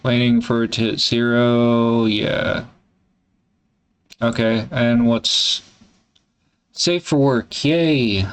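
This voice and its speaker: Slow, halting man thinking aloud. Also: mid-range voice, steady tone, clear, resonant tone. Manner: halting, hesitant, swears casually. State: congested. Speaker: vlogger. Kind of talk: candid solo vlog, thinking aloud.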